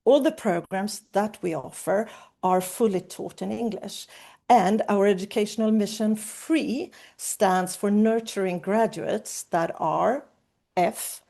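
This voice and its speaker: Mellow-voiced woman presenting a webinar. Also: medium pitch, slow pace, gravelly. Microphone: video call.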